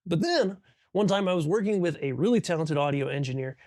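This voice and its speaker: A man's voice: a little high, expressive, brisk, a little mellow, dry recording, studio quality. A man explaining things as an audio instructor in an informal, conversational tutorial, thinking aloud as he goes.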